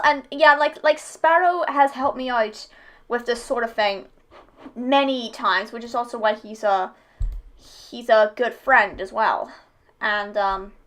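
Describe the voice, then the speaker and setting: A woman's voice: a little high, fairly bright tone. A woman hosting a reaction video, giving casual personal commentary in a hesitant, rambling way with repeated words.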